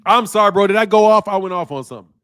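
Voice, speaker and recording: Male streamer host, high-voiced, slangy, animated off-the-cuff boxing talk. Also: rapid-fire, smooth. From Chicago area. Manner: animated, slangy, loud outbursts and laughter. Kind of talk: casual livestream talk. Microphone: streaming mic.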